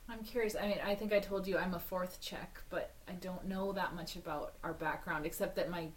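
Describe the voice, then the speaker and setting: Curious woman interviewer, slightly low voice. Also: fairly even intonation, a little fast, slight background noise, poor audio. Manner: curious, brief encouraging responses. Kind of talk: oral history interview.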